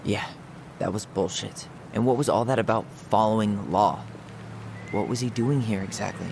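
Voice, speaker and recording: A man's voice: on the low side, moderate pace, gravelly, background noise. An actor playing a skeptical young American man in a video game, speaking in a casual, wry, blunt way. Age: young adult. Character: skeptical, sardonic young man confronting old family memories. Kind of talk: naturalistic video game dialogue.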